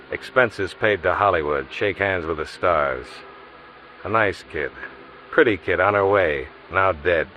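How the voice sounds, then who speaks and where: A man's voice: deep voice, muffled recording. An American man playing a wry, hard-boiled insurance investigator, narrating and acting tersely in 1950s noir radio style. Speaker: lead and first-person narrator. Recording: faint hiss on vintage radio recording.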